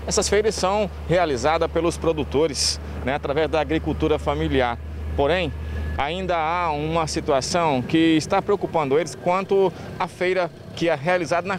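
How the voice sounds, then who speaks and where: A man's voice: a little high, a little hiss or hum. A man in his forties with a Brazilian Portuguese accent, reporting outdoors at a market with a handheld mic. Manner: steady report delivery. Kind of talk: news report and interview questions. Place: open-air market lot outdoors.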